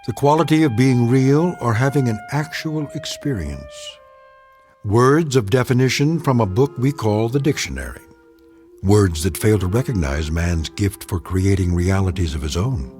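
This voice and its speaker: A man hosting and narrating from a script in a measured, portentous dramatic style. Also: fairly low pitch, a little mellow. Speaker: host and narrator.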